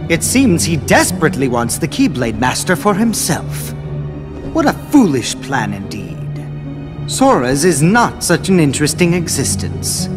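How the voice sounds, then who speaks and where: A man playing a cold, scheming scholar in a dark robe, who speaks in a measured, riddling and superior way in a game cutscene. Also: on the high side, sing-song, over music. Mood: condescending. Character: scheming robed male scholar, cold and condescending. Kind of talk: video game cutscene dialogue.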